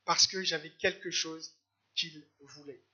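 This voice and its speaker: High-voiced, bright male preacher. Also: fairly even intonation, muffled recording. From Algeria. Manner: preaching in short phrases, pausing for the interpreter. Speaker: guest preacher. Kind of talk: sermon with live interpretation. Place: church service.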